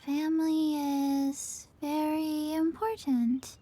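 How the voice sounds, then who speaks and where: A woman voicing a small, sleepy zombie girl in an anime game, childlike, deadpan and halting. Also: high-pitched, faint music behind.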